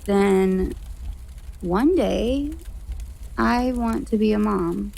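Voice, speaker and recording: An actress playing a drunk, giggly, sincere young woman in an audio drama, rambling in a husky, creaky voice. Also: medium pitch, drawn-out words, a little mellow, full character voice, poor audio. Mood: tipsy.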